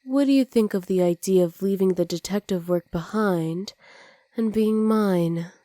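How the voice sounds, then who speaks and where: Woman acting a smug, mellow-voiced supervillainess. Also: mid-range voice, measured pace, dry recording, close to an everyday voice. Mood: commanding, gloating. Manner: smug, teasing, commanding, often dropping to soft whispers. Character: dominant female supervillain with superpowers, teasing and possessive. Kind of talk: ASMR roleplay.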